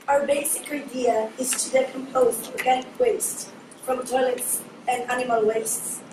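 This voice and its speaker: Hoarse young woman presenting. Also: sits high. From Israel. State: hoarse, lost voice. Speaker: student presenter. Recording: echoey room, distant mic, noise.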